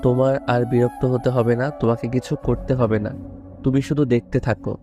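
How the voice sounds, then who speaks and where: A man plays a domineering young romantic lead in an audio story drama. He is commanding and sure of himself, in a flat mid-range voice over clear music. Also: mid-range voice, normal speed, lightly acted. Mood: confident. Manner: commanding, self-assured.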